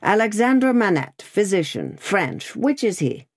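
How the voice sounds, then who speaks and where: A woman's voice: medium pitch, sing-song, measured pace, no echo, studio quality, voice pushed up. A woman voicing a brusque male revolutionary gate officer who barks questions in a sneering way. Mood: barking. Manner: loud, barking, sneering.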